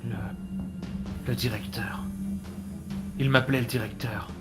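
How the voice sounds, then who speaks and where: A man acting a troubled adventurer in an audio drama, questioning in a halting, agitated way. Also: medium pitch, fairly even intonation, lightly acted. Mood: confused, shaken. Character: troubled, bewildered male adventurer haunted by memories. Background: music.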